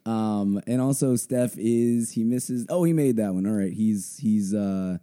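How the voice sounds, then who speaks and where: A young man hosting a casual sports podcast, hoarse from a blown-out voice, rambling with many fillers. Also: on the low side, a little slow, dark tone. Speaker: podcast host.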